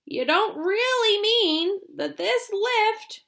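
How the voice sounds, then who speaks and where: Woman doing a panicky, high old grandpa. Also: lively intonation, vocal fry, heavily acted. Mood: alarmed. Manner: shouting, slowed, panicky. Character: frightened excitable old grandfather. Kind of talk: storybook read-aloud.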